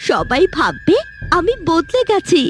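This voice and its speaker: Scheming young sister in a fairy-tale cartoon, high voice, intense, very animated. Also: female voice, unhurried, faint music behind, exaggerated voice. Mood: vengeful. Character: scheming, jealous young sister plotting revenge, feigning sweetness.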